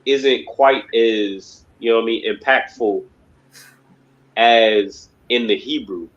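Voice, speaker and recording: A man's voice: mid-range voice, fairly even intonation, slow pace, a bit bright. Rambling man teaching a class, lo-fi. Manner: rambling, emphatic, repeats "you know what I mean". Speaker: class instructor. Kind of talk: religious class lecture.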